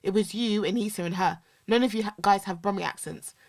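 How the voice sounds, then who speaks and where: A woman's voice: medium pitch, fairly quick. A young woman with a Birmingham accent co-hosting a casual podcast, laughing often and drifting off on tangents. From Birmingham, England. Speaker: podcast co-host. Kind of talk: casual chat.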